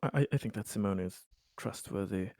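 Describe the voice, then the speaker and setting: A man taking part in a tabletop roleplaying discussion, speaking haltingly and repeating words as he searches for phrasing. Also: fairly low pitch, vocal fry, a little mellow, no room sound, studio quality. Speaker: roleplaying game player. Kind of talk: casual game discussion.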